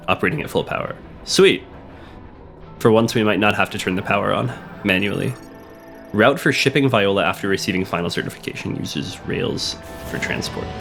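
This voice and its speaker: A man with a cold, hoarse and a little sniffly, chatting casually while playing a video game, thinking aloud and reading on-screen text. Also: low voice, fairly bright tone. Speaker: let's play host. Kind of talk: casual gameplay commentary. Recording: game music playing behind the voice.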